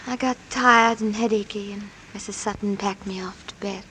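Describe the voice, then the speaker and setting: An actress playing a frail, affectionate wife in a 1940s radio drama, soft and tired. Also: female voice, medium pitch, steady tone, moderate pace, lightly acted, poor audio. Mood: tender. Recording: old radio broadcast with faint hiss.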